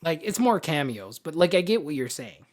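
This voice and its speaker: A man with a voice pitched a little above average speaks as a podcast co-host. He discusses things in a casual, opinionated way. His delivery is informal and emphatic, often repeating words to press a point. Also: very animated, normal speed.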